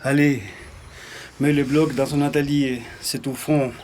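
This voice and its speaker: A man acts a bossy workshop hand in an audio drama, with a medium-pitched voice. He grumbles in an exasperated, condescending way, over faint ambient noise. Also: level tone, unhurried, a little mellow, natural voice. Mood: bossy, resigned. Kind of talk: naturalistic audio drama acting.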